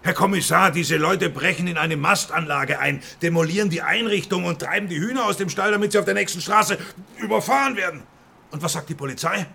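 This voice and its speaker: An actor playing an irascible, aggrieved boss of a poultry megastore in a radio crime drama, blustering and indignant. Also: male voice, sits high, a little fast, gravelly, bright, forceful tone, husky, heavily acted. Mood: indignant, threatening.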